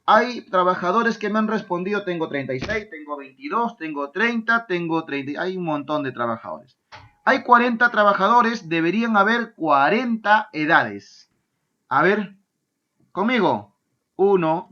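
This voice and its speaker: Man, math teacher, fairly high voice, keeps asking "right?", dull lo-fi sound. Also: unhurried. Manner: lively, asks "¿ya?" and "¿correcto?" often, answers his own imagined student questions. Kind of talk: online math lesson.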